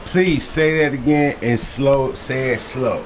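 A man's voice: mid-range voice, slow pace. A man hosting a casual spelling contest vlog with playful, slangy banter and some swearing. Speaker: host and quizmaster. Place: barbershop and outdoor back deck. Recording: echoey room, clear background noise.

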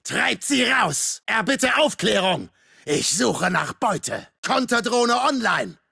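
A masked killer on a video game battlefield. His high, strained rasp is sharp and commanding, drawing out orders and threats with menacing glee. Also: sing-song, takes it slowly, dry recording, clean studio sound, heavily acted. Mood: gleeful menace. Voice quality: raspy, gravelly, strained. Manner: forceful barked calls with taunts. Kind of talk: video game military callouts.

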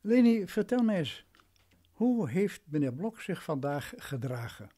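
Radio play role: condescending, domineering male lawyer, highish voice with very animated intonation. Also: measured pace, full character voice. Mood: imperious. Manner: patronising, imperious.

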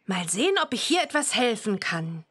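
An actress playing an eager, expressive young witch girl in a children's game. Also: medium pitch, normal speed, slightly rough. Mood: cheerful, eager. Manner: bubbly, expressive. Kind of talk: children's game character dialogue.

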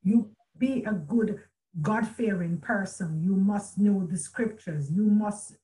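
A woman's voice: mid-range voice, takes it slowly, warm tone, poor audio. A middle-aged woman telling a personal story as a guest on a video call, earnest and reflective, often restarting her sentences. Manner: earnest, reflective, with many restarts and quoted speech. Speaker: author and guest. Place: room at home with a chandelier. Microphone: video call webcam.

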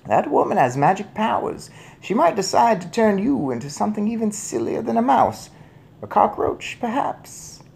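A narrator voicing an old Norwegian grandmother who is calm, measured and mischievous, in a children's storybook. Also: medium pitch, vocal fry, slight background noise, pitched above the performer's own voice. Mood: sly. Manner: measured, unruffled, matter-of-fact.

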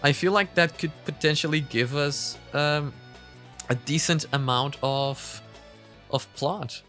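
A man in his twenties giving casual, sweary reaction commentary in a halting way, close on a studio-style mic at home. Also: a little high, fairly bright tone, soft background music. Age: 20s. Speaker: reaction video host. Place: small home room, indoors. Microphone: microphone on boom arm, headphones.

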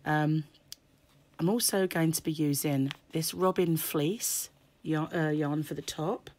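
Woman, very low pitch, hoarse and croaky, casual crochet tutorial, chatty with fillers. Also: fairly even intonation, takes it slowly, a little mellow. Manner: chatty, many fillers, frequent throat clearing. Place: home table, indoors. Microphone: camera over hands, speaker off-screen.

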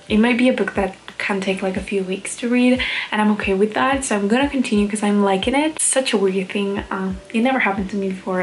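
A young woman from Spain, speaking English, chatting candidly and often softly about books in her bedroom, filmed at arm's length. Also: mid-range voice, slight background noise, rough recording. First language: Spanish. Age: young adult. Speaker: book vlogger. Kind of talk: casual vlog chat. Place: bedroom at home. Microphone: on-camera mic, camera at arm's length.

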